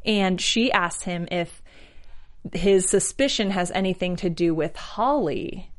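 A woman's voice: lowish voice, unhurried. Woman casually recapping a TV episode. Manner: frequent "like", voicing characters' lines in quotes. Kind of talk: casual chat.

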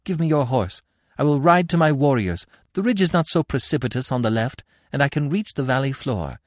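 A man's voice: medium pitch, lively intonation, a little fast, dry recording, clean studio sound, voice pushed up, natural voice. A man voicing a proud young royal woman who speaks in a raised, insistent way. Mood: commanding.

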